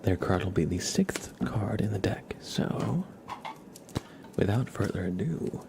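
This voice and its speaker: A man hosting an ASMR livestream in a soft, gentle, encouraging voice that often slips into whispering. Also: on the low side, lively intonation, measured pace, gravelly. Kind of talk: ASMR, soft-spoken live stream with whispering.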